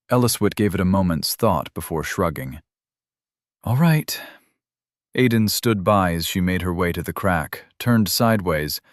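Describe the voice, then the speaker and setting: A man narrating a fantasy audiobook in a steady, even storytelling manner on a close studio mic. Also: sits low, dry recording.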